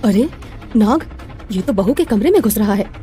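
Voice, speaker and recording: A woman's voice: medium pitch, animated, moderate pace, dark tone, faint music behind, lightly acted. A woman voicing an anxious, suspicious middle-aged mother-in-law in a dramatic moral story. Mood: alarmed.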